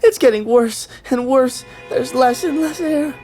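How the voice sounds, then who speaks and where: Woman as nervous school kid, high-pitched, fretful, complaining, timid assistant, audio drama. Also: measured pace, warm tone, soft background music. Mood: panicky, whiny. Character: anxious, whiny middle-school kid sidekick.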